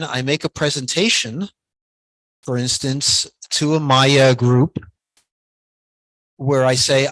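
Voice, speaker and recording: A man giving a guest lecture, answering audience questions in a halting, soft-spoken way with many pauses and restarts. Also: mid-range voice, no echo, poor audio.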